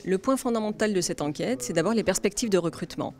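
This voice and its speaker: A woman's voice: medium pitch, fast. A woman in her thirties explains survey results steadily into a handheld microphone in an office. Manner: steady, explanatory, few hesitations. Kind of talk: interview for a news report. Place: office, indoors.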